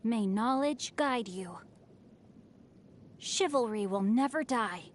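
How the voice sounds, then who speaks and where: A woman's voice: a little high, expressive, slow, slight background noise. An actress voicing an earnest, composed young woman scholar and tactician in a video game, speaking in formal, solemn declarations. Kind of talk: video game character voice lines.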